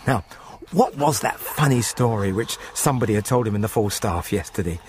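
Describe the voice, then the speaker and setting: A man's voice: lowish voice, lively intonation, a little fast, slight background noise. A man narrating a radio horror story in a dramatic, scripted style, with music under his voice.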